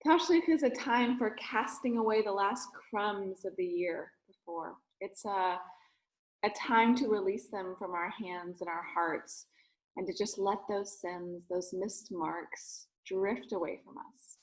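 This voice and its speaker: Woman, very slow pace, mid-range pitch, guided meditation, soothing and gentle, short phrases with long pauses. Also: low-quality recording. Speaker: rabbi leading a guided meditation.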